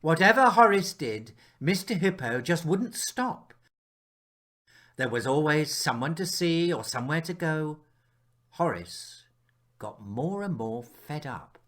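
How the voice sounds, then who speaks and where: A man reads a children's story aloud at a slow, unhurried pace. His pitch moves up and down in a lively way, and he uses dramatic pauses and drops into hushed moments as a storyteller. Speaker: author reading his picture book aloud.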